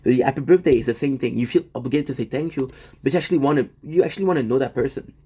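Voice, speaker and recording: A man's voice: medium pitch, very fast, warm tone, slightly rough. Guy livestreaming from home, rambles, restarts a lot, talks to chat. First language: French. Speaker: livestream host.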